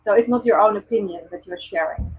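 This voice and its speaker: A Dutch-accented woman speaks earnestly as a guest, pausing on ums and restarting her sentences. Her voice is a little husky. The audio is thin and phone-like, as from a video call. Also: medium pitch, soft background music. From Netherlands. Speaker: guest, presence coach. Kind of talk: casual video call chat.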